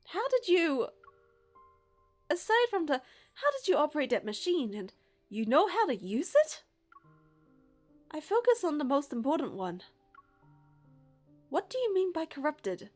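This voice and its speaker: High-pitched young woman narrating a game. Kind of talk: scripted narration and dialogue read aloud.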